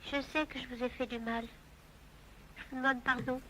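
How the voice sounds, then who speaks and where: An actress playing a fragile, apologetic teenage girl pleading softly in a French radio drama. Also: high-pitched, level tone, moderate pace, slight background noise, poor audio. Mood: timid, pleading.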